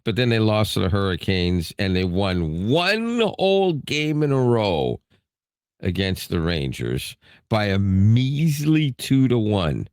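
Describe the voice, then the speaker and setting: Lively, teasing podcast co-host man. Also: takes it slowly, dry recording, clean studio sound. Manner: animated, teasing, jumps up in pitch when ribbing. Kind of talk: casual podcast chat. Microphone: studio microphone.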